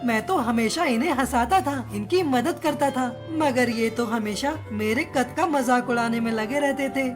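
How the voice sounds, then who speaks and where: A woman's voice: a little high, normal speed, hardly any pauses, over music, natural voice. A woman voicing a short male cartoon crow, a chatty, theatrical joker with a touchy streak. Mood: hurt, pleading. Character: short joke-telling crow, male, hurt and vengeful. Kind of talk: Hindi cartoon story dialogue.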